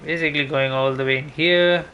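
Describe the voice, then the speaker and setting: A man's voice: a little high, fairly even intonation, a little fast, smooth, lo-fi sound. A man giving casual gameplay commentary as a streamer, thinking aloud in a rambling, mildly sweary way. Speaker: game streamer.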